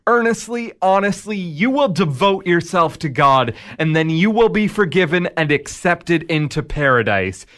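A smug Devil played by a man in a radio drama, with a fairly high male voice. He is calm and measured, needling and tempting with sardonic deliberation. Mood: smug, persuasive.

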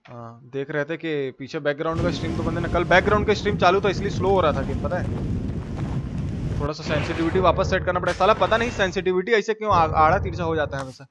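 High-voiced man, game streamer, casual slangy live chat, informal greetings. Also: quick pace, heavy background noise.

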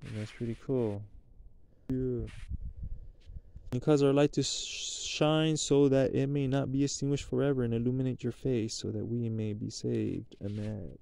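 A man's voice: medium pitch, fairly even intonation, normal speed, gravelly, dry recording. A man leading Sabbath prayers and blessings outdoors at night, recorded on a handheld camera close by. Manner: prayerful, rising into loud chanted blessings. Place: outdoors at night at a picnic table.

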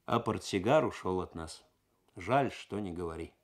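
A man voicing a calm, wry senior police detective in a dramatic radio story reading, low-key and resigned. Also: moderate pace.

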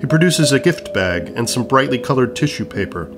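A man's voice: medium pitch, animated, fairly quick, over music. A man reading scripted narration for an audio play, describing action in stage-direction style.

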